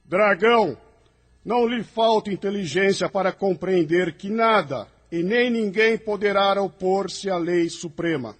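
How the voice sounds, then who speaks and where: Man acting a solemn high-voiced angel, huge reverb. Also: unhurried. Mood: solemn, commanding. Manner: formal, commanding, solemn declamation. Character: Cherub, solemn authoritative male angelic spirit of light. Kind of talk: audio drama acting. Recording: long cavernous reverb.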